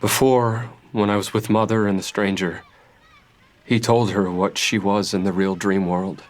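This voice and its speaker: Man acting guarded, earnest young hero, lowish voice. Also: little pitch movement, a little slow, close to an everyday voice. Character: brave, guarded young male thief hero Jack. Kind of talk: table read of a fairy-tale script.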